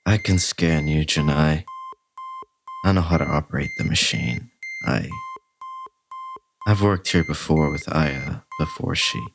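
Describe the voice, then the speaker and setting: An actor playing a nervous, evasive male spaceship crewman with a gravelly voice, stammering out halting excuses. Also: a bass voice. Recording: music and electronic beeps behind. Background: music, beeps.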